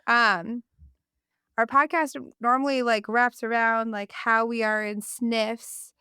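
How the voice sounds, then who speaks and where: Bright-voiced woman podcast host with a cold. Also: a little high, fairly even intonation, slow pace, clean tone, no room sound, clean studio sound. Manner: enthusiastic, rambling, self-correcting. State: congested from a cold. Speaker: podcast co-host, speech-language pathologist. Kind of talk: casual chat.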